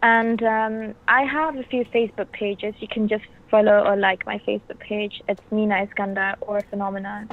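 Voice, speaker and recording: A young woman with a Bruneian accent answers an interviewer's questions, soft-spoken and hesitant, pausing on lots of ums. Her voice is of middling pitch and comes through a thin, phone-like line. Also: flat delivery. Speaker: actress guest.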